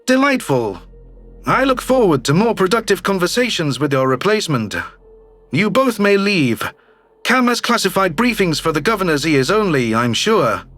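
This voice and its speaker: A man's voice: sits high, sing-song, normal speed, a bit bright, soft background music, voice pushed down. A man voicing a smug, calculating alien governor, slick and self-satisfied, in a theatrical audio drama style. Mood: smug, curt. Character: smug, calculating male alien politician, newly elected governor. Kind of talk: scripted sci-fi audio drama dialogue.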